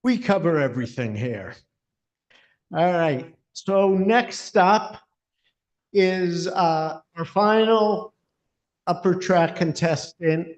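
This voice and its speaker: A man hosting a live pitch event, announcing the next contestant in a slow, drawn-out way. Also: animated. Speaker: event host. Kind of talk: introducing contestants.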